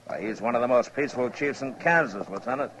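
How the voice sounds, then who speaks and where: An actor as a laconic frontier marshal, low-key and terse. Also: male voice, fast talker, lightly acted. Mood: concerned. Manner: terse, understated. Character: calm, laconic frontier marshal of the Old West. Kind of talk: 1950s radio western acting. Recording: dull, noisy old radio recording.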